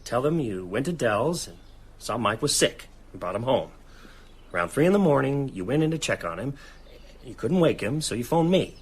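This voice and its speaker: Earnest, husky-voiced male writer. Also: medium pitch, very animated, moderate pace. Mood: urgent, commanding. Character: earnest, level-headed male writer, quietly taking charge. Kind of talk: radio drama acting.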